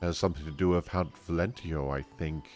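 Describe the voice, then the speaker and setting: A man's voice: very deep voice, fairly even intonation, a little fast, darkish tone, faint music behind. A man streaming a game and reading its dialogue aloud in an amateur way.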